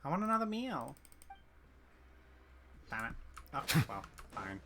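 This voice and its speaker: A woman's voice: very deep voice, big pitch swings, measured pace, background noise. A woman game streamer giving casual, playful play-along commentary, reacting aloud as she plays.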